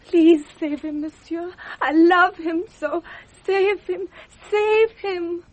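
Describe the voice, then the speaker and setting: A woman's voice: extremely high pitch, fairly even intonation, takes it slowly, dry recording. An actress playing a distraught, passionate young lady in love in a radio drama. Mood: pleading, tearful. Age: young adult.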